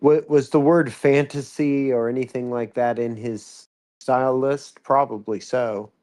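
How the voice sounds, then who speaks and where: A man with a medium-pitched voice offers casual commentary as a music reviewer. He is thoughtful and explains things analytically, in a conversational way. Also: fairly even intonation, a little slow, a little mellow. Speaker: co-commentator giving music feedback.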